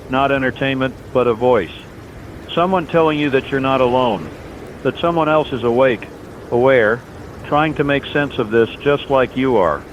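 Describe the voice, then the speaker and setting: A man playing an earnest, steadfast overnight radio host in a scripted disaster drama, speaking in solemn, reassuring, deliberate phrases. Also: close to an everyday voice. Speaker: late-night radio host in a fictional broadcast. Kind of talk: scripted radio drama monologue, emergency broadcast. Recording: dull lo-fi audio, loud outdoor rumble.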